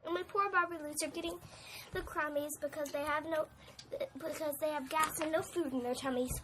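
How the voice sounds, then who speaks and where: Very high-pitched indignant little forest creature. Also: male voice, fairly even intonation, fairly quick, pushed and bright, slight background noise. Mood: scolding. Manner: pleading, preachy, protesting.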